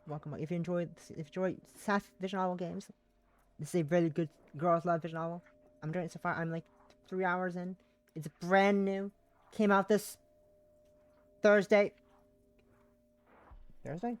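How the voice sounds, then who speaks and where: A woman's voice: fairly low pitch, a little mellow. A woman live streaming, chatting casually and reading visual novel text aloud, now and then tripping over words and rereading them. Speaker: live streamer playing a game.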